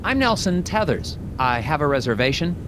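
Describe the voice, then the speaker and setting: An actor playing an earnest, awkward, polite government investigator in a video game, officious and slightly hesitant. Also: male voice, on the high side, sing-song, moderate pace, background noise. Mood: polite, inquiring. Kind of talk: video game character dialogue and dictated reports.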